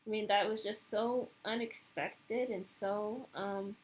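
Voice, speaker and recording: A woman thinking out loud in a personal monologue. Her voice sits at an ordinary middle pitch, and she talks haltingly, with frequent pauses and fillers as she searches for words. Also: steady tone, poor audio. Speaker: vlogger.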